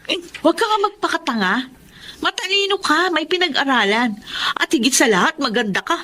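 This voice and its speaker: An actress playing a blunt, protective young Filipino best friend in a radio drama. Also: female voice, high-pitched, animated, fairly quick, sharp tone. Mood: suspicious, scolding. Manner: blunt, scolding, probing.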